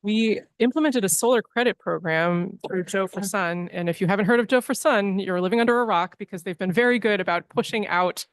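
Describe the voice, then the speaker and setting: A woman's voice: medium pitch, a little fast, hardly any pauses, dry recording. A woman presenting a report as library director at a board meeting, earnest, with frequent ums and restarts. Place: public meeting room.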